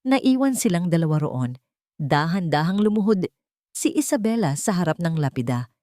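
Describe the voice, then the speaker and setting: A Filipino woman tells a romantic drama as a scripted narration. Her voice sits low and has a soft, dark warmth. The sound is close and dry. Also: very animated, normal speed, dry recording. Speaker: storyteller.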